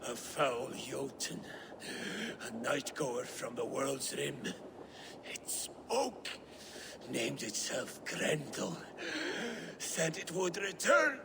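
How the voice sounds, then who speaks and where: A man's voice: medium pitch, expressive, drawn-out words, background noise. A man playing a proud, mortally wounded Norse raider chief in a video game, gasping and coughing. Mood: horrified, pained. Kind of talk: dramatic video game acting.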